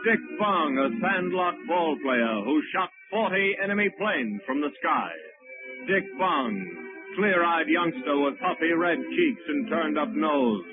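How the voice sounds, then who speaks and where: A man's voice: on the high side, expressive, unhurried. An American man announcing on 1940s radio, reading a scripted narration in a grave, dramatic, declamatory manner. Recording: tinny old 1940s radio wire recording, music. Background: music.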